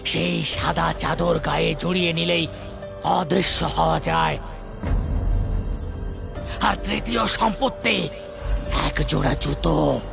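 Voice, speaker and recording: A man voicing a quarrelsome cartoon giant demon with a gravelly voice and drawn-out, declaiming delivery. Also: fairly high pitch, heavily acted. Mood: boastful explaining. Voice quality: gravelly, creaky. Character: gravelly giant demon squabbling over inheritance. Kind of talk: cartoon fairy-tale voice acting. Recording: loud music bed. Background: music.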